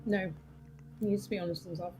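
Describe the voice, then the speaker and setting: A woman's voice: lowish voice, steady tone, fast, warm tone, soft background music. A woman giving blunt, opinionated, casual commentary on a game as a co-commentator.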